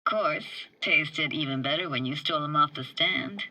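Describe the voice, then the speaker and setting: A woman's voice: low voice, moderate pace, pushed and bright, full character voice, poor audio. An actress playing a tough but tender young techie woman in a video game, speaking in a casual, clipped, slangy way. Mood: playful. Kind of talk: naturalistic video game dialogue.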